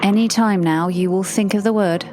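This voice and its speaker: A woman's voice: mid-range voice, normal speed, background noise, close to an everyday voice. A woman playing the Devil as a wry, unbothered, teasing ruler of Hell in an audio drama. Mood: bored mockery. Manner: deadpan, teasing, dismissive. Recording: echoey room sound. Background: music.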